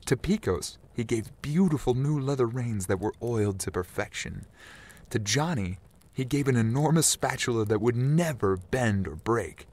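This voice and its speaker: Animated male storyteller, lively swooping pitch. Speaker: storyteller narrator. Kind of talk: animated scripted Christmas storytelling.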